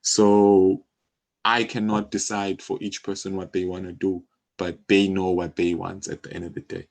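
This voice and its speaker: Man, South African accent, very low pitch, casual interview talk, explanatory, conversational check-ins. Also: flat delivery, normal speed, creaky. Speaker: music publishing company co-founder, interview guest.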